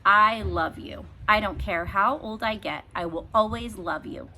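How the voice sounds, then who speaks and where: A woman voicing an earnest little girl in a storybook, emphatic and quick to protest. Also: medium pitch, sharp tone, a little hiss or hum. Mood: loving.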